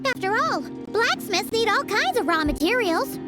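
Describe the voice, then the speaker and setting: A woman's voice: very high-pitched, big pitch swings, normal speed. A woman voicing a young girl in a scripted fantasy video game, chirpy and blunt, with music behind her. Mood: thoughtful.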